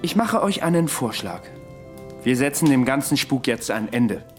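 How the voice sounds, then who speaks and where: An actor playing a blunt, persuasive advisor in a historical radio drama, urgent and commanding. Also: male voice, mid-range voice, sing-song, faint music behind, natural voice. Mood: commanding, deliberate. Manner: urgent, commanding, deliberate when dictating. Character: insistent, blunt, persuasive male advisor to a monk.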